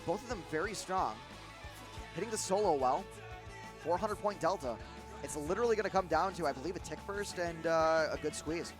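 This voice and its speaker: Midwestern guy commentating a guitar game bracket, kinda high voice, loud guitar music under it. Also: a little fast. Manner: rambling, with fillers and restarts. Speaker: tournament commentator. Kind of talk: live esports play-by-play commentary.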